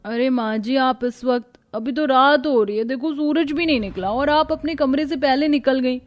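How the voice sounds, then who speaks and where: Woman acting a drowsy new bride, higher voice. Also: moderate pace, lightly acted. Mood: sleepy, dismissive. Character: drowsy young new bride, naive and easygoing. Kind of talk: Hindi cartoon family story voice acting.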